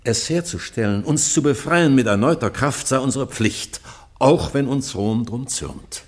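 A man's voice: medium pitch, a little slow, darkish tone, lightly acted. An actor playing a proud, defiant older British king, stately and courteous, in classical radio drama. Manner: stately, declamatory.

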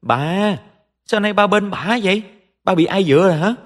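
A spoiled young Southern Vietnamese woman acted out by a male storyteller, pitched high and shrill. She scolds and accuses in furious, indignant bursts, with long reverb around the voice. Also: moderate pace, lightly acted. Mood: outraged.

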